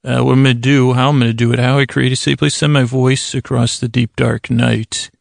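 An American man with a heavily creaky, gravelly voice hosts a bedtime podcast. He talks in a flat, narrow range, halting and restarting as he meanders through improvised tangents. The sound is dry and close. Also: mid-range voice, dry recording. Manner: halting, meandering, self-interrupting with frequent tangents and pauses. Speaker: podcast host. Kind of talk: rambling, improvised bedtime monologue.

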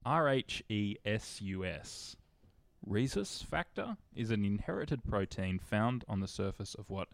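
A man hosting a comedy quiz, reading questions slowly and laughing often between jokes. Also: on the low side, lively intonation, vocal fry, no room sound. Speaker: quiz host.

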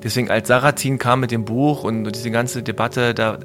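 A man's voice: mid-range voice, fairly even intonation, a little fast, music in the background. A man in his mid-forties chatting casually, reflective and often hesitant as he searches for words. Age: mid-40s. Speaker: podcast discussion partner. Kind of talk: casual conversation.

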